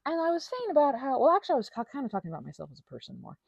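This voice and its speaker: Animated, mellow woman rambling playfully. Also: high voice, racing, creaky, no room sound, highs cut off. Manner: scattered, self-deprecating, playful, trailing off into tangents and asides. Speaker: audio channel creator. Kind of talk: improvised stream-of-consciousness ramble while finger painting.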